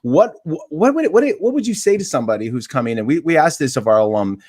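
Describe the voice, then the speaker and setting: A man hosting a casual interview for a theater, halting, with many restarts and fillers. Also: fairly high pitch, very animated, a little mellow.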